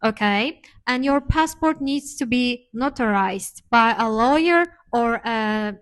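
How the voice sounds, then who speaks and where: A woman's voice: a little high, lively intonation, slow pace, fairly bright tone. A woman from Turkey, co-hosting a casual chat and explaining things with frequent hesitations. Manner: explanatory, many hesitation fillers. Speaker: co-host.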